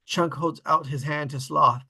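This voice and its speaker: A man narrates screenplay stage directions at a table read. His voice is mid-pitched, bright and forceful, with a husky, gravelly creak to it. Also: fairly even intonation, normal speed.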